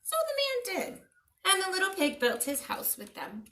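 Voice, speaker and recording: A woman storyteller reading a children's picture book aloud in an expressive, dramatic way, addressing young listeners. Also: very high-pitched, normal speed. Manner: dramatic, asks the listeners questions.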